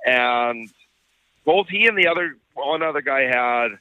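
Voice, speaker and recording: A man's voice: mid-range voice, lively intonation, a little slow, no room sound. A man co-hosting a sports podcast with casual game commentary, on dull lo-fi audio.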